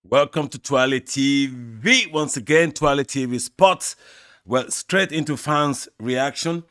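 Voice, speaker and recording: A Nigerian man, a native Yoruba speaker, hosting his own football channel and giving measured, opinionated answers straight to his fans. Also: mid-range voice, pushed and bright, clear, resonant tone. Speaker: sports channel host. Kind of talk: football opinion talk to camera.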